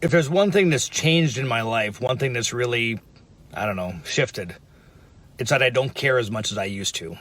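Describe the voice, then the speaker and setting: Man, deadpan comic monologue, mid pitch, traffic noise around. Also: moderate pace. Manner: deadpan, self-mocking delivery. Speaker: comedy writer and performer.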